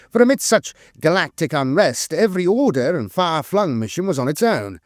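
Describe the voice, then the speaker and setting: A man reads scripted lore narration in a measured, solemn way, with dramatic emphasis on key phrases. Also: mid-range voice, no room sound, clean studio sound.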